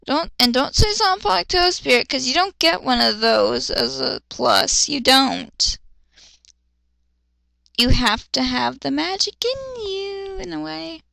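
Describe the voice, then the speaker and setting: A woman's voice: high-pitched, expressive, slow, creaky, bright, forceful tone, no room sound, rough recording. A woman doing an amateur dramatic reading, breaking in with exasperated, sweary, sarcastic commentary in a theatrical way. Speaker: amateur dramatic reader and critic.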